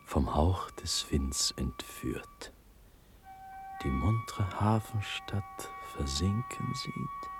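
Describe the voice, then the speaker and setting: An actor playing a dreamy, ardent young prince in a German classical radio drama, speaking in hushed, halting, breathy verse with sudden swells. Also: male voice, medium pitch, wildly swooping pitch, music in the background, exaggerated voice. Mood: rapt, fading.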